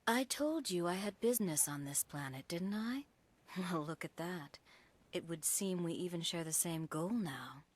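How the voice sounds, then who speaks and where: A woman's voice: lowish voice, lively intonation, unhurried. An actress playing a cool, aloof warrior woman in a video game, curt and dryly condescending. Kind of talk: video game cutscene acting.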